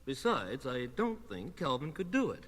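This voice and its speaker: A man voicing a boastful, excitable male puppet on a children's record, with blustery exclamations. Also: medium pitch, moderate pace, big-room sound. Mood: grumbling, boastful.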